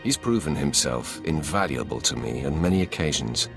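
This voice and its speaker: Deep, gravelly dark lord. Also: male voice, expressive, normal speed, over loud music, exaggerated voice. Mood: commanding. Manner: terse, authoritative. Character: commanding Sith warrior lord, male, curt and imperious. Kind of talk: scripted video game acting.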